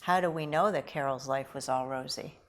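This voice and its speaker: A woman actor talking casually about a script in a deliberate, earnest way. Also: rumbling low. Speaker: actor at a script table read.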